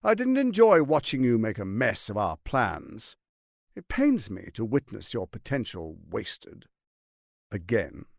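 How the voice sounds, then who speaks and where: Scheming tyrant lord, wildly expressive, creaky. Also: male voice, lowish voice, no echo, studio quality, heavily acted. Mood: smug, coercive. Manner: grandiose, silky, condescending, deliberate. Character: scheming, smooth, menacing male tyrant lord and political mastermind. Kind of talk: theatrical fantasy video game voice acting.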